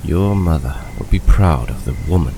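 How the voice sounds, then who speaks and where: A man playing an ancient, weary dragon mentor in an old man's form, speaking slowly and solemnly in an audio drama. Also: rumbling low, expressive. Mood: wise, gentle. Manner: slow, solemn, soothing. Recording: clearly noisy background.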